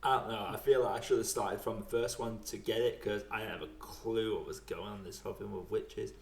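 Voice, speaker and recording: A man's voice: fairly low pitch, steady tone, fairly quick, creaky. A man chatting casually about video games in a colloquial, self-deprecating way. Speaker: podcast speaker. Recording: roomy, echoey sound.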